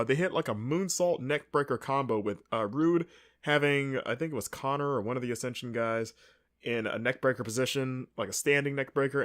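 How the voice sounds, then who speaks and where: Male podcast host with a cold, congested and wry, chatty solo recap. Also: medium pitch. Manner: rambling, wry and sarcastic. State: congested, with sniffles. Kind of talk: casual wrestling recap.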